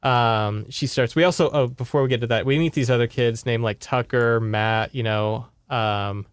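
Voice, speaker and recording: A man's voice: mid-range voice, fairly even intonation, moderate pace, smooth, no room sound, clean studio sound. A man co-hosting a podcast, retelling a book in a casual, informal, chatty way. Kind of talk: casual conversational book recap.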